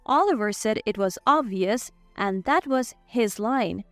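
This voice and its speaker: A woman's voice: mid-range voice, expressive, measured pace, dry recording, soft background music. A woman reading a scripted first-person story as its narrator.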